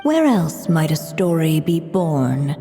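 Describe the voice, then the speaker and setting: A woman voicing a calm female narrator who tells a storybook tale in a game, measured and gently teasing. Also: low voice, soft background music, exaggerated voice. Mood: storytelling. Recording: long hall reverb.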